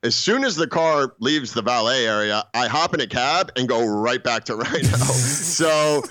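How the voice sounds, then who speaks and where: A man of forty with a voice of middling pitch, telling a rambling story full of false starts. He laughs at his own punchlines. The recording is close and dry. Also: measured pace, sharp tone, dry recording. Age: 40s. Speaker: podcast co-host. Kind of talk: casual storytelling.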